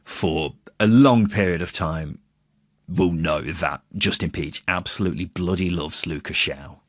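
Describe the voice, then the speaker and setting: A man's voice: low-pitched, a little slow, no room sound, clean studio sound. A man hosting a football podcast, chatting casually with playful, teasing banter. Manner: jokey, playful teasing, mild swearing. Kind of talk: casual banter, quiz chat.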